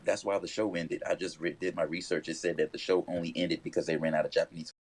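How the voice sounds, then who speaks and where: A man in his early thirties talks quickly and with excitement, full of slang, in a casual podcast chat. His voice sits low and has a husky, slightly breathy edge. He co-hosts the show. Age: 30s.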